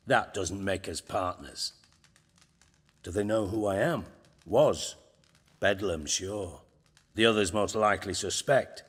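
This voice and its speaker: An actor playing a cunning, middle-aged ex-spymaster turned crime boss in a video game, gruff and blunt, with a wry, salty tongue. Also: male voice, deep voice. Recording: reverberant hall, music behind.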